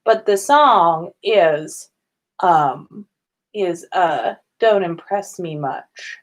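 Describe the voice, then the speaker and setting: A woman hosting a casual story reading, expressive and given to drawn-out emphasis as she narrates and comments. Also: on the low side. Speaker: podcast host reading listener-written fan fiction aloud. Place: own room at home.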